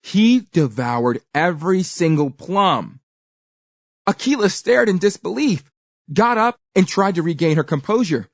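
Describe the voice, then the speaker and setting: A woman reading a children's story aloud with expressive, deliberate and dramatic emphasis. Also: on the low side, dry recording.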